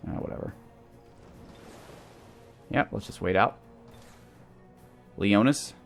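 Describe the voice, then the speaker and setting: A man's voice: lowish voice, slow pace, creaky, soft background music. A man giving casual gameplay commentary as a let's play host, thinking aloud through his moves.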